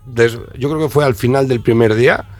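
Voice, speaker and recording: A man's voice: mid-range voice, brisk, darkish tone, dry recording, soft background music. A man hosting a radio sports segment, drawing out his guest with playful, teasing questions. His voice sits in a plain middle register. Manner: jokey, teasing, prompting questions. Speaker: radio host. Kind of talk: radio interview.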